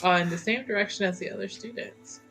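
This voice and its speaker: A woman's voice: mid-range voice, quick pace, creaky, a bit bright, soft background music, low-quality recording. A woman making casual table talk as a player in a tabletop roleplaying game.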